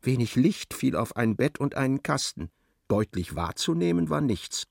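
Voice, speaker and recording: A man's voice: mid-range voice, very animated, moderate pace, vocal fry, no echo, clean studio sound. A man narrating a literary radio play in a precise, wry, dramatic storytelling manner.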